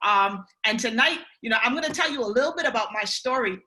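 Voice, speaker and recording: Upbeat Bahamian woman, bright voice. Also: on the high side, expressive, fast talker, rough recording. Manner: upbeat, chatty, lots of "you know" and "right". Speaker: guest speaker on a mentorship call. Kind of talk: motivational personal story. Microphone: video call.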